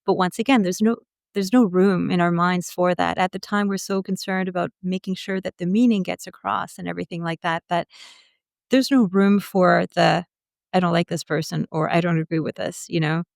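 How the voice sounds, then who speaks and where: A woman's voice: fairly low pitch, fairly even intonation, moderate pace, gravelly, a little mellow, no echo, clean studio sound. A woman telling stories casually as an interview guest, candid and chatty.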